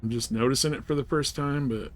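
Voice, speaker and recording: A man's voice: mid-range voice, fairly even intonation, fast. A man chatting casually and informally as he livestreams a video game, laid-back in manner. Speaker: live streamer. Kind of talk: casual gaming livestream chat.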